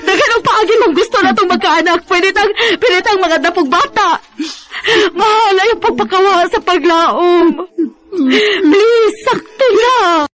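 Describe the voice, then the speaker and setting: An actress playing an emotional wife in a radio drama, loving but easily hurt, with expressive delivery. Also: female voice, very high-pitched, a little slow, poor audio. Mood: pleading, crying.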